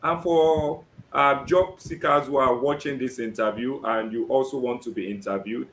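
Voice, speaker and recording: A Nigerian man hosting a live job seeker interview, often saying "great" and filling pauses with "um". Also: mid-range voice, steady tone, a bit bright, reverberant, slight background noise, poor audio. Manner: keeps saying "great" and fills with "um".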